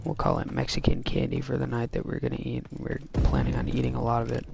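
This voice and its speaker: A man's voice: mid-range voice, monotone, quick pace, creaky, heavy background noise. A young man telling a rambling story full of fillers into a gaming mic while he plays a shooter game. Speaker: gaming vlogger. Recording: game music loud in the background. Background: game music.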